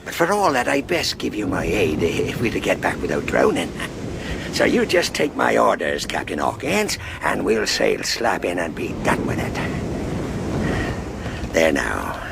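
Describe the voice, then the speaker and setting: A man's voice: deep voice, huge pitch swings, unhurried, creaky, heavy background noise, exaggerated voice. A man playing a wounded, wily old pirate in a radio drama, taunting and menacing in salty seafaring dialect. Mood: commanding, wily.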